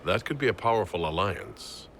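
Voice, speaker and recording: An actor playing a calm, level-headed leader in a fantasy game, speaking in a measured, questioning way. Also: male voice, low-pitched, slight background noise. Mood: considered. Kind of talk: video game cutscene acting.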